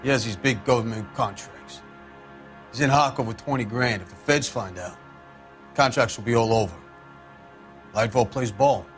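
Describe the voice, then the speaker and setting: Man as streetwise game crook: medium pitch, defiant wise guy, slippery, sardonic, faint underscore. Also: normal speed, pauses often, soft background music, natural voice. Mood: wheedling. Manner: wheedling, sarcastic, streetwise. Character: shifty male crook mechanic, defiant, evasive wise guy. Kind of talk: cinematic video game acting.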